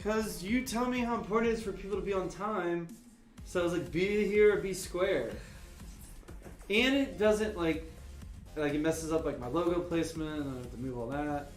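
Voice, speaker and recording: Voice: medium pitch, moderate pace. A trivia co-host reading answer options and making playful, jokey asides during a casual live stream. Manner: playful, jokey asides, sing-song noises. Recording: echoey room with faint music.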